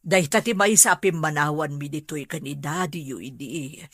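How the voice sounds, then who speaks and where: A woman's voice: low-pitched, normal speed, a bit bright, no room sound, clean studio sound. A woman with an Ilocano accent playing a concerned, grumbling older family member in a radio drama.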